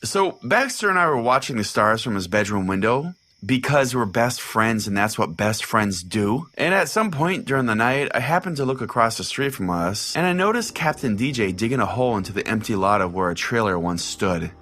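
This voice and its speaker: A man's voice: mid-range voice, big pitch swings, normal speed, hardly any pauses, a bit bright. A man playing an irritable, foul-mouthed pastor who hosts a comedic call-in radio show, sarcastic and theatrical in his delivery. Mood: theatrical storytelling. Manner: exasperated, sarcastic, theatrical storytelling.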